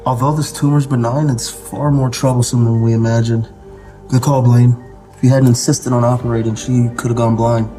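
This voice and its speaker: A man's voice: medium pitch, little pitch movement, moderate pace, warm tone, faint music behind, lightly acted. A man playing a composed surgeon colleague in an audio drama. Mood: calm, approving.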